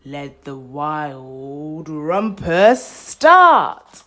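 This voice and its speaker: Lady doing a bossy wild little kid king from a picture book, loud, stretches out his orders. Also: low voice, sing-song, very slow, full character voice. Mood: bold, defiant.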